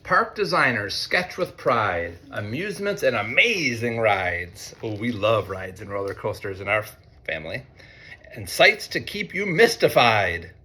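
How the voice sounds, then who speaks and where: An American man, a teacher, reads a picture book aloud to children in an expressive way, with conversational asides. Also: lowish voice, slight background noise. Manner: expressive, deliberate when reading, conversational in asides. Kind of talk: read-aloud storytelling with chatty asides to children.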